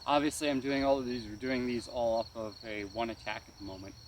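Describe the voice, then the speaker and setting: A man's voice: medium pitch, steady tone, fairly quick. A man giving a casual, hands-on martial arts lesson as an instructor, often checking understanding and punctuating moves with exclaimed sound words. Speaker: karate weapons instructor. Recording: distant mic, noisy outdoor ambience with insects.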